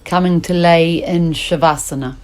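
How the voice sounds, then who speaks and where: A woman teaching yoga nidra, guiding a relaxation meditation in slow, soothing instructions with long pauses. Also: on the low side, steady tone, darkish tone, smooth.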